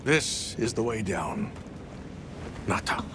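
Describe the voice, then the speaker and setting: A man playing a sober, caring guide in a video game, measured and instructive as he gives cautions. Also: fairly low pitch, lively intonation, noisy background. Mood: serious, cautioning. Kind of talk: cinematic video game dialogue. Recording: loud music under the voice. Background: loud music.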